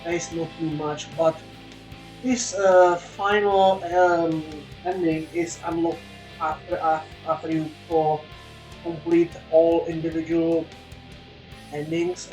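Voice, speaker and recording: A woman who is not a native English speaker, giving an unscripted game review in a halting, hesitant way with broken grammar. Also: low voice, little pitch movement, a little mellow. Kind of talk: unscripted review commentary over gameplay. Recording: roomy echo, distant microphone. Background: acoustic guitar music.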